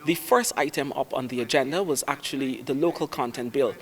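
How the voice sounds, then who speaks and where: A man giving a steady news report on a parliamentary debate. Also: mid-range voice, brisk.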